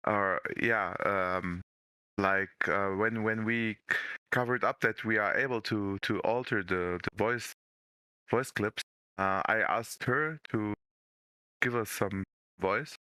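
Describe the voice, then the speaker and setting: Low, bright-voiced man with halting speech. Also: clean tone, dry recording. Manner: halting, word-repeating speech. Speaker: game translation team member. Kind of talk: casual chat.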